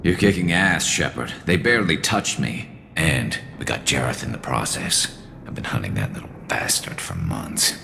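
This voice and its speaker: A man voicing a wry, battle-worn alien ex-cop turned vigilante in a video game, with a gravelly, dry, clipped delivery. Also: expressive, normal speed, background noise, full character voice. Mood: wry, satisfied. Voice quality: gravelly, very deep. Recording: game audio with music behind.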